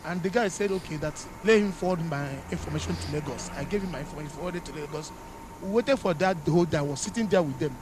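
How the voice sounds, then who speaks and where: Man, Nigerian accent, quick speech, somewhat high voice, outdoor interview, loud traffic behind. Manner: detailed, steady storytelling. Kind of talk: street interview account. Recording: street noise with passing vehicles.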